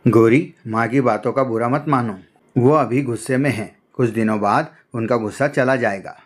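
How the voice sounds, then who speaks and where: A man's voice: mid-range voice, moderate pace, natural voice. A man voicing a caring, earnest young crow husband in a cartoon story, with a reassuring manner. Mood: soothing. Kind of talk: Hindi cartoon story acting.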